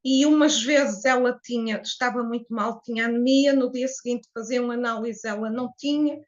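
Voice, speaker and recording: A woman in her late fifties from Portugal with a Portuguese accent, calmly telling her life story as an interview guest with frequent hesitations. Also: fairly high pitch, highs cut off. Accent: Portuguese (European Portuguese). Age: 50s. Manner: reflective, unhurried, with hesitations. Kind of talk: personal testimony in an interview.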